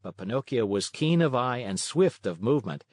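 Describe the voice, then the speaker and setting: A man narrating a scripted children's story in an expressive, dramatic manner. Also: medium pitch, normal speed, dry recording, clean studio sound.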